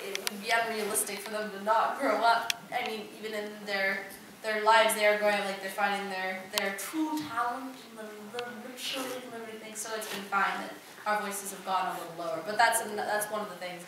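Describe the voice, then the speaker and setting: A woman's voice: mid-range voice, steady tone, fairly quick, background noise. A young woman, a voice actress, talking on a convention panel, giggly and a little hesitant. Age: young adult. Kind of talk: casual panel chat. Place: convention hall. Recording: echoey hall, far from the mic.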